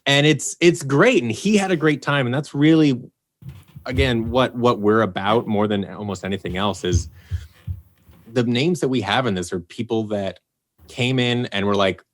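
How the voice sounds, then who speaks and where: A man, a voice actor and producer, talking as a guest on a podcast and telling stories in a lively way. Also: medium pitch, normal speed. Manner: animated storyteller, acts out quotes. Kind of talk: casual podcast conversation.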